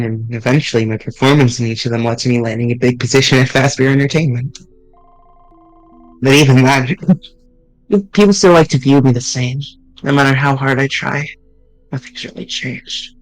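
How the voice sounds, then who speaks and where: A man's voice: medium pitch, poor audio. Man earnestly reading game dialogue aloud. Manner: earnest, halting, with restarts.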